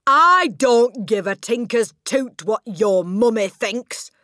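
A woman voicing a huge, brutal headmistress who barks and shouts at children. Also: fairly low pitch, unhurried, dry recording, studio quality, close to an everyday voice. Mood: furious. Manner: shouting, barking.

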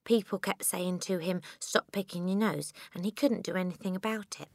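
A woman voicing a little English girl, calm, soft and innocent-sounding but quietly cheeky, in an audiobook. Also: on the low side, moderate pace, gravelly, dry recording, clean studio sound. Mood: matter-of-fact. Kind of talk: dramatised audiobook character.

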